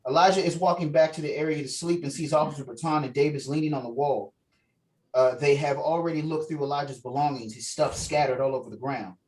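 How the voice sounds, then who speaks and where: A man's voice: on the high side, fast, darkish tone. A man casually running a script table read over a video call, giving directions and reading out stage directions. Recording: distant mic on a video call.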